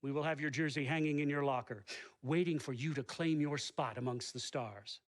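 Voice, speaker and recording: A man playing a grandiose college recruiter in a video game, making a lofty, solemn pitch. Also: moderate pace, lightly acted. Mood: lofty, persuasive.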